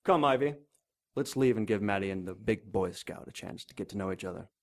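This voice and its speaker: A man's voice: fairly low pitch, fairly quick, lightly acted. A man playing a shady, rich older businessman, curt and imperious, in a 1930s noir audio drama. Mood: amused.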